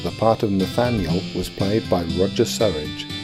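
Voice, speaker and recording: A man's voice: low-pitched, normal speed. A man reading scripted closing credits as the announcer of a radio play. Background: loud music with guitar.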